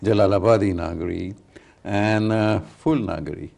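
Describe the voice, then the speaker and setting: A man's voice: fairly low pitch, fairly even intonation, normal speed, vocal fry, darkish tone. An older man hosting a studio interview, hesitant, with many fillers and tag questions. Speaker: talk show host.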